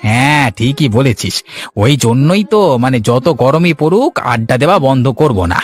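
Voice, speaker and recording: A man's voice: medium pitch, animated, moderate pace, natural voice. A man playing a compliant village sidekick in a cartoon, talking in a casual, deferential way. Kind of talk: Bengali cartoon story acting.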